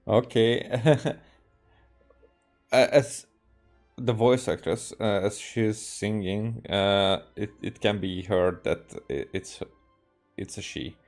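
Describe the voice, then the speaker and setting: A man from Hungary, a native Hungarian speaker, giving casual, halting game playthrough commentary as he thinks aloud. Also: on the low side, darkish tone, faint music behind. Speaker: game streamer.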